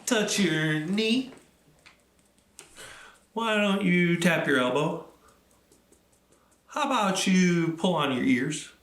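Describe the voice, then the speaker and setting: A man's voice: high voice, lively intonation, slow, long pauses, a bit bright, reverberant. A man hosting a storytime for children, talking simply and encouragingly as he leads activities and tells stories. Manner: encouraging, simple instructions addressed to young children. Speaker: library storytime host.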